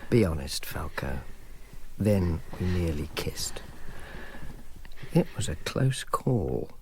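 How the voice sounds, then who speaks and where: Wry Roman informer, radio drama, dry self-mocking, faint hiss. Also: male voice, low voice, sing-song, takes it slowly, full character voice. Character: wry male Roman informer, world-weary. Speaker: narrator and lead character. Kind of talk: radio drama acting with narration.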